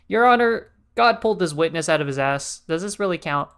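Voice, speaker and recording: A man's voice: fairly high pitch, lively intonation, brisk, fairly bright tone, clear, resonant tone. A man giving casual, slangy, joking gameplay commentary as a streamer. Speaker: game streamer.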